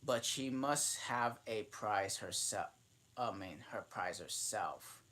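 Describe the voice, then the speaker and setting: A man reading a children's classic aloud in a halting way, often restarting and correcting himself mid-sentence. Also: fairly low pitch, lively intonation, fairly bright tone. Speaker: channel host reading aloud.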